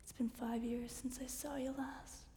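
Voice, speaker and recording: A woman performing spoken word in a hushed, breathy whisper. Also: a little high, little pitch movement, a little slow. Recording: long reverb, faint background noise.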